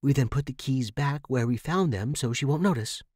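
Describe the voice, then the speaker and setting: A man's voice: mid-range voice, moderate pace, darkish tone, dry recording, studio quality, natural voice. A man voicing a persuasive young woman, insistent and conspiratorial, in a horror story. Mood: conspiratorial, daring. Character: daring, persuasive young woman writer, scheming friend.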